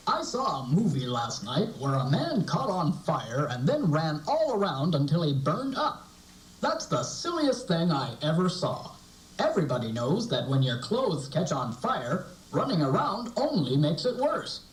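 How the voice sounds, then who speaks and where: A man performs a friendly puppet teacher in a children's safety show, with a lively, swooping delivery. The voice is fairly high and instructive. It sounds echoey and far from the microphone on an old tape. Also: unhurried. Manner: animated, instructive, addressing children.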